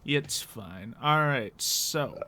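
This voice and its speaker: A man's voice: mid-range voice, animated, extremely slow, bright, forceful tone. A man chatting casually as he hosts and runs a tabletop roleplay game, at times muttering to himself as he sets things up. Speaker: game master and host.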